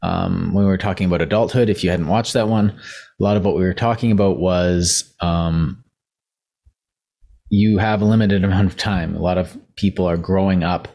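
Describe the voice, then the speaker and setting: A man's voice: sits low, steady tone, moderate pace, creaky, mellow. A young man hosting a casual podcast discussion who is losing his voice, rambling and thinking aloud with many fillers. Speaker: podcast co-host.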